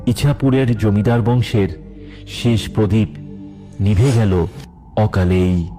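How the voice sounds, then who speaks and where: A man's voice: on the low side, fairly even intonation, takes it slowly, dark tone. A man narrating an emotional children's story from a script as the storyteller. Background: faint music.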